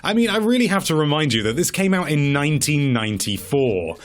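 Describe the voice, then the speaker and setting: A man with a very lively, swinging pitch delivers casual commentary as a video presenter. He sounds chatty and wry, with a tongue-in-cheek edge to his remarks. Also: a little fast.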